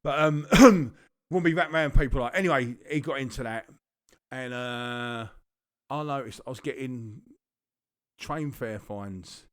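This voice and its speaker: A man's voice: mid-range voice, unhurried, no echo, studio quality. Sweary London man telling stories. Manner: swearing, rambling anecdotal storytelling. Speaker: podcast co-host. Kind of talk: casual storytelling chat.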